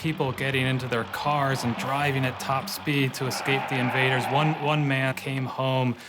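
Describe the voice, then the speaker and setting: A man's voice: medium pitch, little pitch movement, normal speed, heavy background noise. A man telling historical anecdotes as a documentary interviewee. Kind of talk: storytelling commentary.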